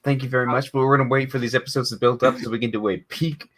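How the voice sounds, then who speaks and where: A man's voice: mid-range voice, steady tone, very fast, fairly bright tone, slight background noise. A man co-hosting a podcast, talking in casual banter. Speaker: podcast co-host.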